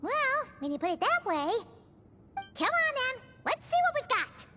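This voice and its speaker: A woman voicing a tiny, bubbly fairy-like girl companion in a video game, who refers to herself in the third person in a cheeky, excitable way. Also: extremely high pitch, moderate pace. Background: game soundtrack music.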